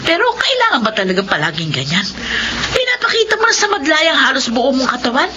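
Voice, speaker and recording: Radio drama mother: middle-aged woman, stern, worried, very animated pitch, noisy recording. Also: fairly quick, dull audio. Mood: angry, scolding. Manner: scolding, emphatic. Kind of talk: Filipino radio drama acting.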